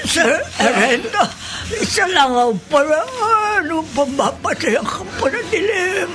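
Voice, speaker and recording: A woman's voice: very high voice, very animated, slow, exaggerated voice. A woman playing an anguished, commanding older village woman in a horror radio drama, giving wailing, urgent instructions. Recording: music behind the voice.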